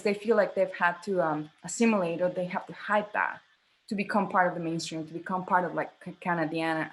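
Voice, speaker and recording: A woman's voice: mid-range voice, slight background noise, low-quality recording. Earnest woman. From Mexico. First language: Spanish. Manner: earnest, emphatic, searching for words with repeats. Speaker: guest, theatre artistic director. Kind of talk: conversational panel talk.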